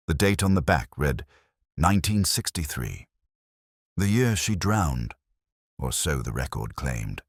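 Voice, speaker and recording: A man narrating a first-person detective story in a measured, brooding way, with deliberate pauses. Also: rumbling low, no echo, clean studio sound.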